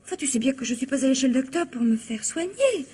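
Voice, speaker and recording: An actress playing a melodramatic, cajoling unfaithful wife in a French radio comedy-drama, theatrical and hesitant. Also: female voice, high voice, sing-song, fast, dark tone, breathy edge, full character voice. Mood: coy, tearful. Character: coy, melodramatic unfaithful wife, sweetly wheedling.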